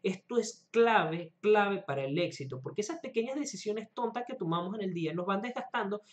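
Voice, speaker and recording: A man's voice: sits high, expressive, fairly quick. A man in his twenties talking directly to camera as an online host, explaining self-improvement advice earnestly in a small room at home. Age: 20s.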